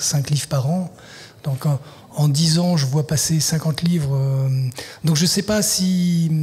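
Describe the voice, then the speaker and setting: Thoughtful, hesitant male guest with a husky voice. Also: on the high side, a little mellow. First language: French. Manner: thoughtful, hesitant, frequent restarts. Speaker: literary translator, guest. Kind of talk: interview answers. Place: festival venue, live broadcast.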